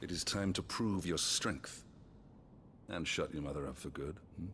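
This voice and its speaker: An actor playing a weary, commanding middle-aged duke with a British accent, speaking in a measured way in a fantasy game. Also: male voice, a bass voice, a bit bright, a little hiss or hum. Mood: dry teasing. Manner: measured, authoritative. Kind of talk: dramatic fantasy game acting.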